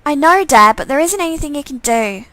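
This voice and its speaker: Visual novel heroine, read by a woman: young, troubled, sardonic, incredulous, high and bright. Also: lively intonation, a little fast, no room sound. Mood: weary, incredulous.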